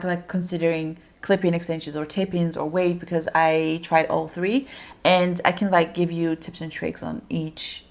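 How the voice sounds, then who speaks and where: Young woman, Dutch accent, lowish gravelly voice, chatty beauty vlogger. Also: darkish tone. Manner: chatty, rambling with many fillers. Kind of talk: casual makeup tutorial chat.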